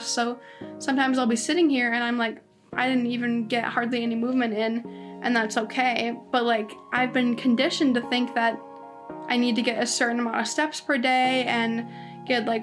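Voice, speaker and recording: A woman in her twenties hosting a podcast alone, talking casually and rambling with lots of "like"s and restarts. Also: a little high. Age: 20s. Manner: chatty, rambling, many "like"s and restarts. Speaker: podcast host. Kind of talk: casual solo podcast monologue. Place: indoors at home.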